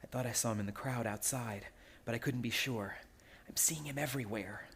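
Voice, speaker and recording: A man's voice: medium pitch, brisk, fairly bright tone, a little hiss or hum, lightly acted. An actor playing a proud, nerve-racked young man in a suspense radio play, earnest and tense. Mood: anxious, fearful.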